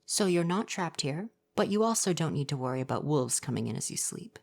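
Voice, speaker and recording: A man playing a gentle, coy and flirty forest spirit in an ASMR roleplay, speaking softly, at times breathily, in a formal, reassuring way. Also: fairly high pitch, very animated, brisk, gravelly, exaggerated voice.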